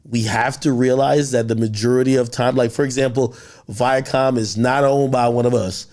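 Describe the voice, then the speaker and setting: A man's voice: medium pitch, steady tone, normal speed, darkish tone. A man born in Jamaica, hosting a podcast interview in brash, profane, joking banter. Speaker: podcast host.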